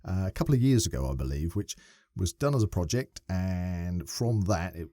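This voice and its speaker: A male podcast co-host chats casually and playfully. His voice is low and mellow yet swings widely in pitch. The sound is dry and close. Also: moderate pace, dry recording. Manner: animated, playful, reads synopsis aloud.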